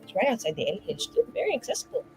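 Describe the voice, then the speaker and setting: A woman's voice: a little high, huge pitch swings, very fast, soft background music, low-quality recording. A woman player speaking in character in a tabletop game, chatty and rambling with constant fillers. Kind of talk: in-character roleplay.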